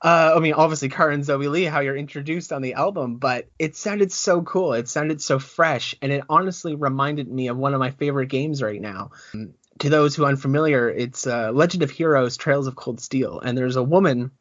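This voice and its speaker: A man's voice: mid-range voice, normal speed, fairly bright tone, no room sound. A man hosting an interview podcast, eager and stumbling, often repeating words as he talks. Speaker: podcast host. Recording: dull, dry recording.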